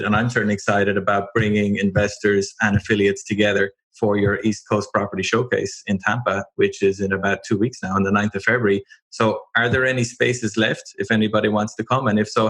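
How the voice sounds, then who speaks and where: A man hosting a podcast interview, agreeable and measured, giving brief affirmations. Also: fairly low pitch, level tone, fairly bright tone, low-quality recording. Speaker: podcast host. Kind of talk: interview conversation.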